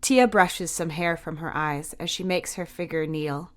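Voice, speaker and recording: Lowish-voiced woman story narrator. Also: a little slow. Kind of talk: scripted audio story narration.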